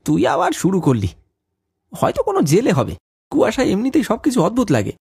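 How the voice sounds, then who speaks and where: A man's voice: sits high, huge pitch swings, a little fast, gravelly, darkish tone, slightly rough, no echo, heavily acted. A man playing a sceptical, mocking young village man in a cartoon ghost story, teasing and dismissive. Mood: doubtful. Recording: music behind the voice.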